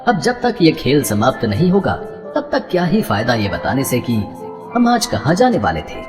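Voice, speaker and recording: A man's voice: on the high side, huge pitch swings, normal speed, over music. A man voicing a good-natured, guileless god and fond husband in a devotional story, with formal, playfully bemused delivery. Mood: scheming, sly. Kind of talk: animated devotional story dialogue.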